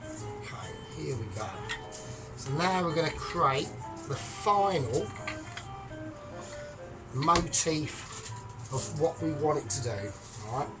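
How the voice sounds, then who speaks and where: A man's voice: a little high, lively intonation, takes it slowly, long pauses. Man, casual cooking tutorial, step-by-step chat, music in background. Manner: chatty step-by-step explaining, joking asides. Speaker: home cook.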